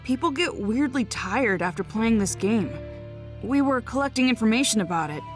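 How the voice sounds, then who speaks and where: A woman's voice: fairly high pitch, animated, moderate pace, lightly acted. A woman voicing a brash, earnest young boy ninja in an anime game, with a direct, casual, boyish delivery. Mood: matter-of-fact. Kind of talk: English anime game dub acting. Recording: music behind.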